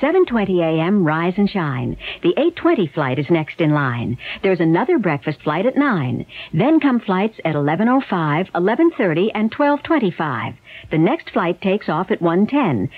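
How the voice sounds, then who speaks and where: An actress in a radio commercial playing a cheerful, brisk airline agent who recites in a rhythmic, chirpy way. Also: female voice, fairly low pitch, no echo. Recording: dry, narrow-band radio commercial.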